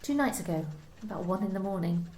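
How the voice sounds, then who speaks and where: A woman's voice: mid-range voice, lively intonation, fast, a little mellow, echoey room, close to an everyday voice. A woman playing a young office worker in an amateur radio play, giving short, reluctant, guarded answers.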